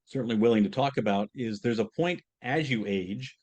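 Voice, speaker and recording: A man's voice: mid-range voice, normal speed, darkish tone. A man with a mid-range voice hosts a podcast interview. He asks long, meandering questions, stumbling through fillers and restarts, and he laughs easily. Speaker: podcast host.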